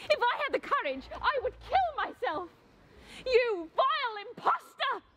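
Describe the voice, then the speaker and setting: An actress playing a proud, hot-tempered Victorian wife in a melodramatic, formal stage style. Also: female voice, extremely high pitch, very animated, a little slow, a bit bright, a little hiss or hum, exaggerated voice. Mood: hysterical outrage. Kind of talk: theatrical period drama acting.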